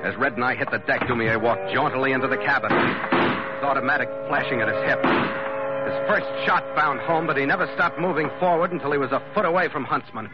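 An American man playing a tough, steady sea captain, narrating in clipped, hard-boiled 1940s radio adventure style. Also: medium pitch, fairly quick, close to an everyday voice. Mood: grim. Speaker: lead actor and narrator of radio series. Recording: muffled vintage radio with loud music.

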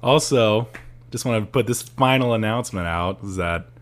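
A man's voice: fairly low pitch, normal speed. A young man co-hosting a podcast, chatting casually, teasing and laughing often. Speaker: podcast co-host. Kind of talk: casual chat.

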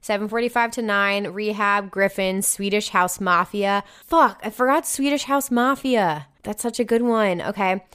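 A woman's voice: mid-range voice, normal speed, few pauses, a bit bright, smooth. A young woman with a New Jersey American accent, a podcast host reading listener emails aloud and reacting in an effusive, slangy, casual way with some swearing. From New Jersey, United States.

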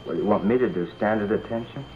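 An actor playing a defiant teenage suspect, answering with resentful, sarcastic backtalk in an old radio crime drama. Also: male voice, lowish voice, very fast, lightly acted. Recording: tinny old radio broadcast.

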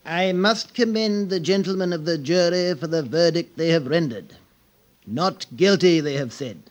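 An actor playing an overwrought judge secretly enthralled by fire, theatrical and halting, in the style of 1950s radio drama. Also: male voice, on the high side, natural voice. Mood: solemn.

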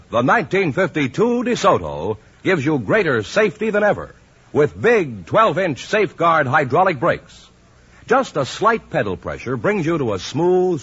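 Husky American radio pitchman, hugely animated. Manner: emphatic salesmanship. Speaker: commercial announcer. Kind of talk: 1950s radio advertisement read. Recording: muffled, husky-sounding 1950s radio recording.

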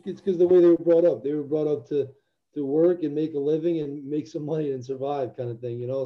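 Young man from Albania, earnest interview guest; muffled remote audio. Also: a little high. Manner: earnest, reflective, many restarts. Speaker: interview guest, former football player. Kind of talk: casual interview.

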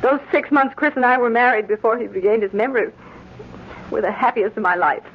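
A woman's voice: fairly high pitch, fairly quick, breathy edge. An American woman playing a devoted, wounded young wife in an old-time radio drama, tender and melodramatic in manner. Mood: hurt, plaintive. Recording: dull old radio recording, faint noise.

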